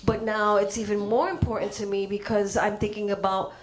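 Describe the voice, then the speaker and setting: A woman's voice: medium pitch, a little fast. A woman telling a personal story to a gallery audience, acting out what people said. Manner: storytelling, animated when acting out quotes. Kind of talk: speaking at an artist reception.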